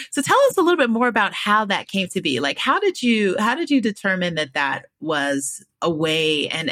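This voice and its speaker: A woman's voice: medium pitch, a bit bright, no echo, clean studio sound. A woman hosting a podcast interview, talking in an upbeat, enthusiastic way. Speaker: podcast host.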